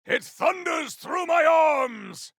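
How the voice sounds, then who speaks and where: Game role: bombastic, honorable cyborg warrior, slow declamatory delivery, often shouted, raspy edge. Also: male voice, very high voice, animated, no room sound, clean studio sound, full character voice. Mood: battle fury. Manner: theatrical, declamatory, grandiose. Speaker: video game voice actor. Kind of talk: video game combat barks.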